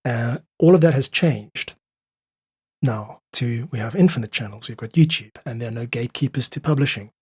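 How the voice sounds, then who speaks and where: A man's voice: medium pitch, normal speed, darkish tone, husky, no room sound, clean studio sound. A South African man speaking earnestly and articulately as an interview guest, explaining his ideas in conversation. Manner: earnest, articulate, uses analogies. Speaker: podcast guest, independent musician.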